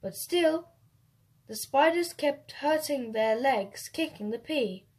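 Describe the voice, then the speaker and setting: A woman storyteller reading a children's picture book aloud in an expressive, unhurried way. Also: high-pitched.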